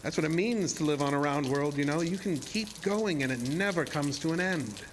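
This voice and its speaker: A man's voice: on the high side, moderate pace, noisy background, lightly acted. A man playing a sinister, seductive illusionist showman in a horror audio drama, speaking in a theatrical, formal and insinuating way. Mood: persuasive. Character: sinister, seductive showman-illusionist, deceptively ageless male villain.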